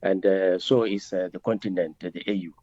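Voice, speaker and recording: A man with a Botswanan accent, answering as a politician in an interview in a halting, deliberate way, with many hesitations. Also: sits low, fairly even intonation, dark tone, no room sound, highs cut off. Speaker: member of parliament being interviewed.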